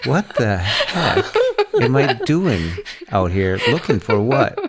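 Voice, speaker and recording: A man's voice: mid-range voice, very animated, slow pace, over a noisy crowd. A middle-aged American man talking casually as the host of a podcast.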